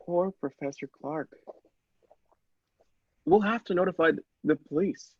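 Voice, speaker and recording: A man's voice: high-pitched, a little slow, no room sound, dull audio. A man playing an earnest, shaken doctor in a radio play, agreeable and hesitant, with dramatic stammers. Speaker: podcast actor.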